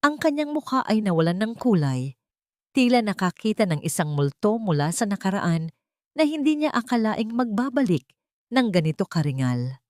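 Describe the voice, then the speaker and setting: A woman with a Filipino accent narrating a story in a measured, expressive, dramatic storytelling style. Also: mid-range voice, no room sound, clean studio sound. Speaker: narrator of audio drama stories.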